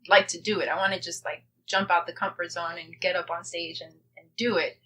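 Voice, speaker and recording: A woman's voice: lowish voice, fairly even intonation, fairly quick, pushed and bright, slight background noise. A woman chatting casually and enthusiastically as a guest playwright in an interview. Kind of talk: casual chat.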